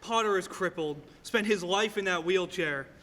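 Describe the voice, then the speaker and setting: A man's voice: sits high, normal speed, pushed and bright. A man playing a tired, forbearing father in a stage radio play. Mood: weary, forbearing. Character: tired, forbearing middle-aged father, gentle businessman. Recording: reverberant hall stage recording.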